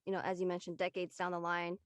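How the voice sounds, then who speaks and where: A woman's voice: on the low side, monotone, quick pace, dry recording. Dry, close-sounding woman, casual. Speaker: panelist in an online discussion. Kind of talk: casual chat, reflective advice.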